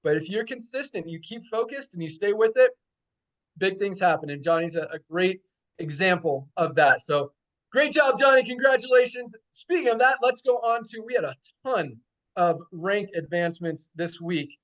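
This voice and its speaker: Male call host, high voice, enthusiastic, conversational, muffled call audio. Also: normal speed, a bit bright. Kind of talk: upbeat call hosting.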